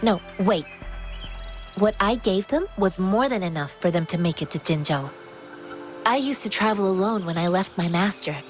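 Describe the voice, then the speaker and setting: A woman playing an earnest, principled young wanderer in a video game, measured and sincere. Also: mid-range voice, lightly acted. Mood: sincere, lecturing. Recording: music playing behind the voice.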